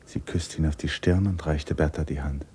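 An actor narrating a literary radio play in a measured, observant manner. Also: male voice, very deep voice, steady tone, dark tone, a little hiss or hum. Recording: muffled vintage radio play recording.

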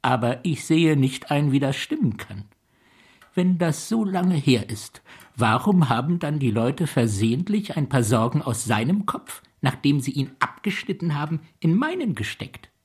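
An actor playing a gentle, muddled, childlike old gentleman in a radio play, halting and confiding, with a husky, breathy voice. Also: on the high side, very animated, exaggerated voice. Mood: puzzled, earnest.

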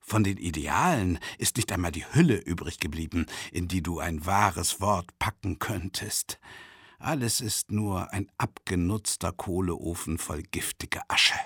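An actor playing a tormented, self-doubting man who narrates a radio drama monologue in a brooding, intense way, with a gravelly, husky voice. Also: low-pitched, unhurried, fairly bright tone, dry recording, clean studio sound, heavily acted. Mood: bitter self-reproach. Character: tormented, self-doubting, impoverished male travelling lecturer. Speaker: first-person narrator.

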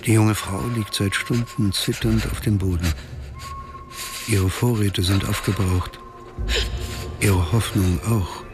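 A low-voiced man narrates a radio drama at an unhurried pace. He is grave, sombre and detached, with music softly underneath. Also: steady tone. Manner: measured, sombre. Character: grave, detached male storyteller.

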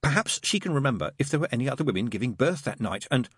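A man voicing a prim, gentle male angel in an audiobook, with a proper and sweetly earnest manner. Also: medium pitch, very animated, fast, breathy edge, no room sound, clean studio sound, pitched above the performer's own voice. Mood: fussy, urgent.

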